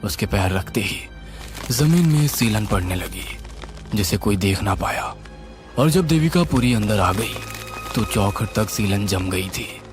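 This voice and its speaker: Lively low-voiced male horror storyteller. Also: a little slow. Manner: suspenseful, expressive. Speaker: storyteller, narrator. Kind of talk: dramatic scripted horror narration. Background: music.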